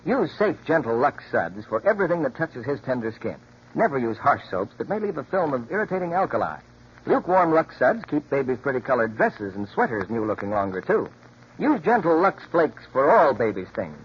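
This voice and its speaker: A man's voice: medium pitch, big pitch swings, a little fast, slight background noise. A man with an American accent, reading scripted announcements in 1940s radio style. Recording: dull old radio broadcast with music.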